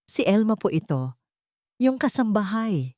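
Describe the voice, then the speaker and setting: A woman's voice: mid-range voice, sing-song, unhurried, darkish tone, dry recording, clean studio sound, pitched above the performer's own voice. A woman voicing a frightened, deferential old housemaid with a trembling voice in a dramatic story. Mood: fearful, urgent.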